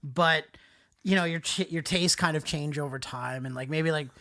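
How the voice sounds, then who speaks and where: A man's voice: a little high, normal speed, sharp tone. A man with a nasal voice hosting a casual music chat in an informal, jokey, slangy way. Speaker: podcast host discussing music albums.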